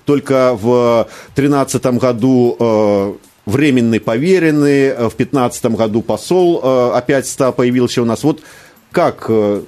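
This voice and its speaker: A man interviewing a guest on radio, asking long questions filled with pauses and fillers. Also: mid-range voice, darkish tone. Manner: drawn-out questions with many fillers.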